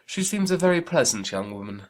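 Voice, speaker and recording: An amiable young gentleman, played by a woman reader, civil and eager to oblige. The voice is dropped to a low register for polite storybook dialogue. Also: sing-song, a little fast. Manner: civil, pleasant. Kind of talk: dramatized audiobook character reading.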